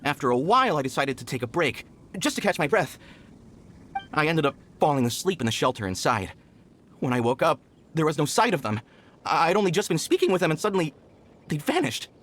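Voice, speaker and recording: A man's voice: fairly high pitch, very animated, brisk, fairly bright tone, slight background noise. A scared, flustered miner from a video game, speaks in a raised, anxious voice. He hesitates and stammers as he pleads, with light ambience behind him. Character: frightened, flustered mine worker, anxious and pleading. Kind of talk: video game character acting.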